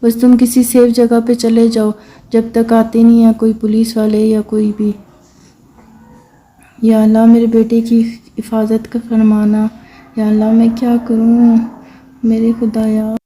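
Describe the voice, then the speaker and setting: A woman's voice: on the high side, completely flat delivery, measured pace, dark tone, close to an everyday voice, rough recording. A woman voicing a frightened, pleading mother in an amateur cartoon. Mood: anxious. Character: frightened mother praying for her son's safety. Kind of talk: amateur cartoon voice acting in Urdu.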